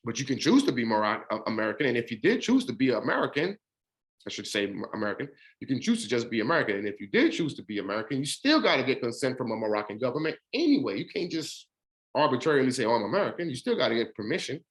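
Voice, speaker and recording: A man of ordinary middle pitch reads a legal judgement aloud. He stumbles, restarts and repeats words, and often breaks off to comment. When he explains, his voice climbs and quickens. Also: sing-song, poor audio. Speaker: commentator reading a court judgement.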